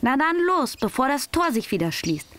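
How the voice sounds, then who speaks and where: An actress playing a sensible young female hiker, brisk, bossy and teasing, in an audio drama. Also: sits high, very animated, a bit bright, no echo. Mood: eager.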